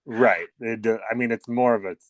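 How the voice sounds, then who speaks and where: A man's voice: mid-range voice, moderate pace, no room sound. A man co-hosting a casual podcast by phone, wry and mock-dramatic, with some casual swearing. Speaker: podcast co-host. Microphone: phone call.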